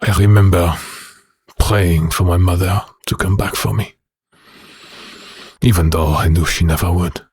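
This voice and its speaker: A brooding male werewolf prisoner, speaks very low and slowly. His voice is heavily rasped and husky, with a bitter, haunted weight to every halting phrase, as in a dark audio drama. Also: steady tone, no room sound, heavily acted. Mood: haunted sorrow. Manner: slow, halting, brooding delivery.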